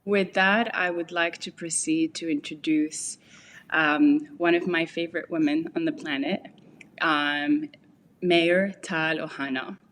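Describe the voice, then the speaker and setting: Low-voiced woman hosting an online panel. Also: a little hiss or hum. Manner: reads a formal introduction with enthusiasm. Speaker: event host.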